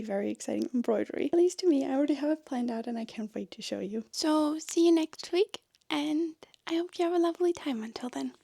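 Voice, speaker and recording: A woman with a fairly high voice who speaks English as a second language, vlogging in a casual, chatty way. She tells things like stories, with little asides and chuckles, in a dry, close-sounding recording. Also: vocal fry, warm tone, no echo. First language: not English. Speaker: book and sewing vlogger. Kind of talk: casual chatty review to viewers.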